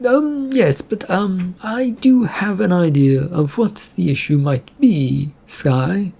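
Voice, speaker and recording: A man's voice: high voice, takes it slowly, creaky, mellow, slightly rough, pitched above the performer's own voice, heavily acted. A man voicing an evasive, nagging ship's computer in an audio story, with a hesitant, insinuating way of talking.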